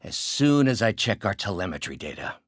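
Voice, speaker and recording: An actor playing a brilliant, bitter middle-aged scientist turned villain in a video game, with precise, articulate, grandiose delivery. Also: male voice, fairly low pitch, big pitch swings, a little fast, dry recording, clean studio sound. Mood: mild, eager. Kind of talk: dramatic game character voice lines.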